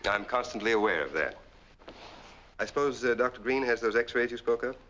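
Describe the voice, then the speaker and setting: An actor playing a suspicious, commanding sanitarium doctor in a clipped, authoritative 1940s film style. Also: male voice, medium pitch, animated, a little fast, bright, forceful tone. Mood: probing, cool. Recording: dull 1940s film soundtrack, faint noise.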